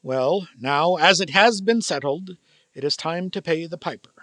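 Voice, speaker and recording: A cold old miser in a radio play, acted by a man, with a high, hard-edged voice in a dry recording. He is formal and condescending, and his words drip with sarcasm. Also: normal speed, bright, forceful tone, no room sound. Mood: cold, stern. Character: cold, miserly old male business owner, scornful of Christmas. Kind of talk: theatrical radio play acting.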